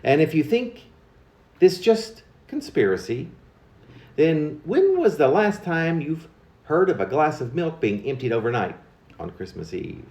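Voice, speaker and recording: A man's voice: fairly high pitch, big pitch swings, measured pace, darkish tone, roomy sound. A man reciting his own humorous narrative poem in a wry, confiding way, like a storyteller passing on gossip. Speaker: poet reading own work.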